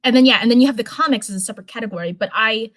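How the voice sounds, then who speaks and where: A woman's voice: a little high, rapid-fire. A woman hosting a live stream in an informal, chatty way, talking to viewers and reading fan fiction aloud. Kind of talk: casual livestream chat and live story reading.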